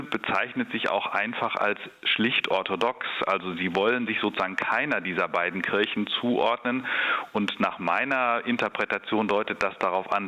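A man, an expert on Orthodox churches, answering radio interview questions in a measured, hesitant way with many fillers. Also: fairly low pitch, bright, forceful tone.

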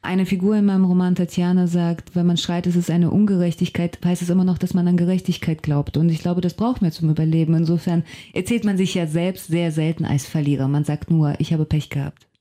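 A woman's voice: lowish voice, steady tone, a little fast, warm tone. A woman whose first language is Russian, a novelist and translator, speaking as a guest in a radio studio interview and thinking aloud with care as she searches for words. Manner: thoughtful, reflective, searching for words.

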